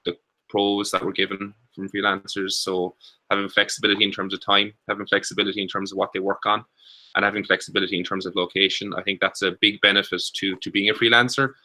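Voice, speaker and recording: Man, Irish accent, video call, very bright tone, flat delivery, halting with restarts. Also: deep voice, low-quality recording. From Ireland. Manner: halting, many restarts and fillers. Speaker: panelist, co-working space founder. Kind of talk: online panel introduction.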